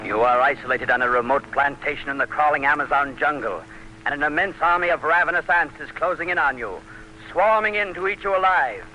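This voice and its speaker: A man's voice: on the high side, fairly quick, highs cut off. A man as a radio announcer delivering an ominous, intense scripted teaser in 1940s dramatic style. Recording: noisy old radio recording.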